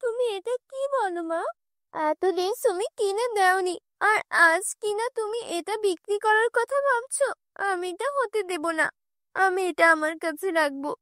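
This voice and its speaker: A woman plays a poor young girl in a fairy-tale cartoon. Her voice is very high and close-up dry, pleading and stubborn, full of self-pity. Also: animated, measured pace, a bit bright, no room sound, heavily acted. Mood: indignant.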